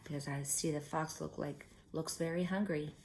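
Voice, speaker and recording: A woman reading a story aloud to young children like a teacher, expressively, pausing to add simple explanatory comments. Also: low voice, fairly even intonation, darkish tone, a little hiss or hum.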